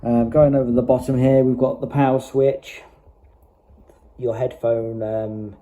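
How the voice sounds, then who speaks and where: A man giving a casual, unscripted product walkthrough in a halting way, often repeating himself as he explains. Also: medium pitch, flat delivery, dark tone. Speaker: hobbyist presenter.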